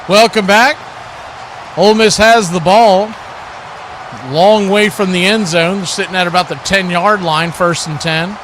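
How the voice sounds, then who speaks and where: High-pitched man calling football, noisy background. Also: a little slow. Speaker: play-by-play announcer. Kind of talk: live football play-by-play commentary. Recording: clear steady background noise.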